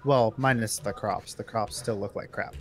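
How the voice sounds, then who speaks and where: A man's voice: fairly low pitch, fairly even intonation, normal speed. A man chatting casually as a co-player during a streamed video game. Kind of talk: casual chat while gaming. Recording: faintly noisy online game chat.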